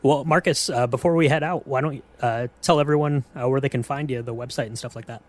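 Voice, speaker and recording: A man's voice: mid-range voice, quick pace. A man hosting a podcast in a casual, conversational way. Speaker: podcast host. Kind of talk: casual chat.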